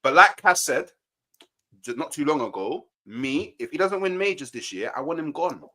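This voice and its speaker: A man defends his side in a heated football argument, his voice bright and forceful. He repeats himself and stumbles over his words when challenged, cutting back in before the others finish. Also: a little high, sing-song, normal speed. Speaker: football fan panelist. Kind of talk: heated football debate on a livestream.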